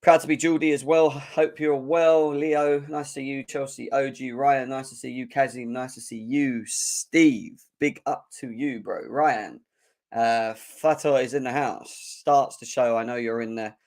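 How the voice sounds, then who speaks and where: A young man, his voice hoarse and croaky, hosting a live football fan show and chatting energetically while clearing his throat. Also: medium pitch, steady tone, a little slow, darkish tone. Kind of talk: live-stream chat.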